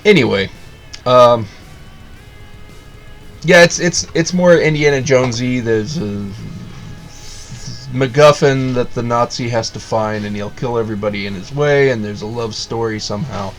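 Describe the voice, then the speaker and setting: A man of middle pitch rants sarcastically through a casual review. He rambles, trips over restarts and trails off into fillers. Faint music sits low beneath his voice. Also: normal speed, pauses often. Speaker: video reviewer.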